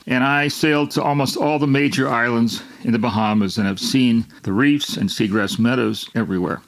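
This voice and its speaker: Man, gravelly-edged, mid-pitched, host walking through a science story, loose and rambling. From Miami, Florida. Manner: rambling, with restarts and repetitions. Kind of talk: unscripted explanatory monologue.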